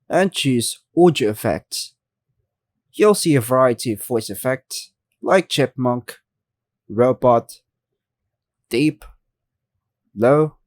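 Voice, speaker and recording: A man's voice: mid-range voice, darkish tone, no echo, clean studio sound. A man hosting a step-by-step tutorial, reading scripted instructions plainly in short segmented phrases. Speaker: tutorial host.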